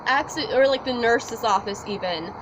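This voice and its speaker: A teenage girl chats in an earnest, reassuring way and giggles easily. Her voice is fairly high. Street noise and passing cars come through clearly. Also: moderate pace. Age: teens. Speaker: student co-host. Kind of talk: casual chat.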